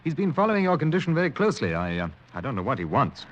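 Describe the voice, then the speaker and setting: An actor playing an older, considerate hospital doctor, speaking with tactful hesitation in 1940s radio style. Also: male voice, medium pitch, sing-song, racing, close to an everyday voice. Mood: tactful, hesitant. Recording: dull, noisy old radio recording.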